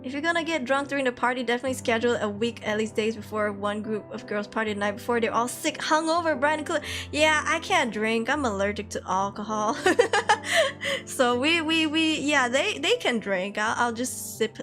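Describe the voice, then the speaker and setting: A young woman streamer from Malaysia chats casually with her viewers, her voice sitting a little high. She laughs a lot and scatters fillers through her talk. Soft music plays behind her. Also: a little fast. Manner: chatty, laughs often, frequent fillers. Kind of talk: casual chat reading viewer comments.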